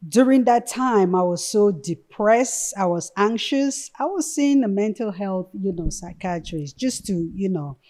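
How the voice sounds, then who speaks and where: Woman from cameroon, guest on a podcast, soft warm tone, lots of um and you know. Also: mid-range voice, lively intonation, a little slow, few pauses. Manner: earnest, frequent "you know" and "um" fillers. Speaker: nurse practitioner and clinic owner, podcast guest. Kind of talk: podcast interview.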